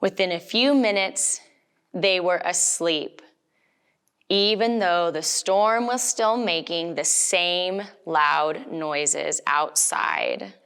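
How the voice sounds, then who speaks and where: A woman reading a picture book aloud to young children, gently and with little questions to them as she goes. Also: lowish voice, slow pace, clean tone. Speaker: storytime reader.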